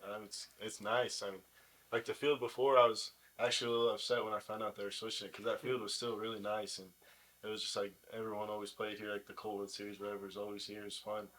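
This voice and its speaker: Young guy athlete chatting in an interview, kinda low voice, pretty monotone. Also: quick pace, rough recording. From United States. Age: young adult. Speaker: baseball player, pitcher, interview guest. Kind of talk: casual interview chat.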